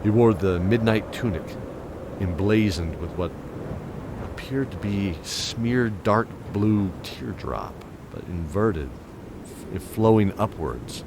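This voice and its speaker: Deep-voiced male fantasy narrator. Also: expressive, slow, noisy background. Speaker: narrator and voice actor. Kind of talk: dramatic first-person fantasy narration. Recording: music under the voice. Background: music.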